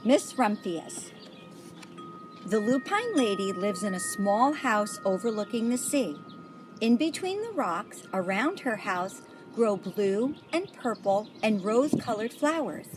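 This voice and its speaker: A woman reading a picture book aloud to children in a deliberate way, like a volunteer storyteller. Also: mid-range voice, big pitch swings, music in the background. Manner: deliberate, pauses to point out pictures.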